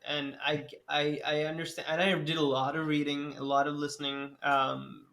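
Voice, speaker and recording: A man's voice: on the high side, a bit bright. A man hosting a casual film podcast, talking with many false starts and restarts. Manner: hesitant, many restarts, laughs easily. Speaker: podcast host.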